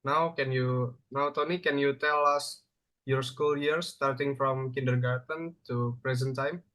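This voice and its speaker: A young man with an Indonesian accent, a university student giving prepared answers in a halting, careful way. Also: medium pitch, steady tone, fairly bright tone. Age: young adult. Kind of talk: prepared question-and-answer speaking exercise.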